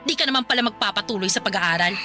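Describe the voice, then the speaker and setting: A woman's voice: mid-range voice, lively intonation, very fast, bright, forceful tone, faint music behind. A woman playing a stern, sarcastic older sister who scolds her younger brother in a radio drama. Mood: scolding. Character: stern older sister Terry, sharp-tongued.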